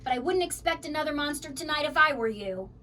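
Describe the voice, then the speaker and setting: Woman voicing a whiny, high-pitched scruffy boy monster. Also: expressive, quick pace, bright, forceful tone, far from the mic, a little hiss or hum. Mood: whining, huffy. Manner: whining, mock-menacing. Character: big scruffy male monster, whiny and boastful. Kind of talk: children's storybook read-aloud.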